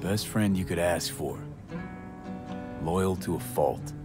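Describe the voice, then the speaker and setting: Game role: grieving street merc, young, tough and loyal. Deep register, solemn measured talk, guitar score underneath. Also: male voice. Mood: solemn, nostalgic. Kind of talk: cinematic video game acting. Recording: music behind the voice. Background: plucked guitar music.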